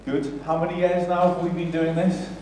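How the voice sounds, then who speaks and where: A man's voice: fairly high pitch, completely flat delivery, normal speed, darkish tone. British man hosting, distant and very echoey. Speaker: pantomime director hosting an interview. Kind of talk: informal live interview. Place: large echoey room at a theatre launch event. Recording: very echoey hall, distant mic, faint noise.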